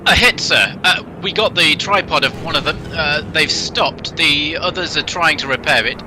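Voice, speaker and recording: An actor playing a rattled artillery spotter who reports back in halting, hesitant bursts in a radio play. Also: male voice, on the high side, background noise, close to an everyday voice. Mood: tense.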